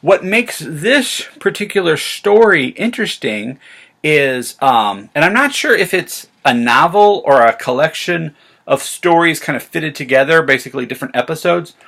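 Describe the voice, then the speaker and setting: A man's voice: a little high, lively intonation, moderate pace, vocal fry, a bit bright. A man talking casually to viewers as a rambling, hesitant enthusiast who often corrects himself. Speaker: science fiction author and vlog host. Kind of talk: casual retro sci-fi talk to viewers.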